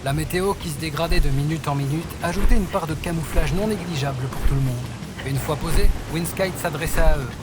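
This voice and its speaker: A male storyteller with a fairly high voice narrates scripted fantasy fiction. Loud music runs behind him throughout. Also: brisk, hardly any pauses.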